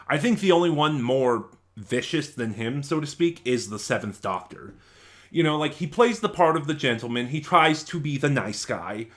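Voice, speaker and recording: A man hosting a casual video review, talking to viewers in halting, conversational short bursts. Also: medium pitch, fairly bright tone. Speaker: video reviewer and channel host.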